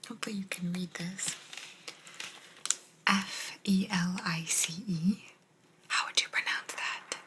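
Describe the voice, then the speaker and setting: A woman giving a language lesson in a soft, breathy ASMR whisper, gently repeating words. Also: lowish voice, fairly even intonation. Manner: slow, gentle repetition of words. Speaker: host teaching Italian vocabulary.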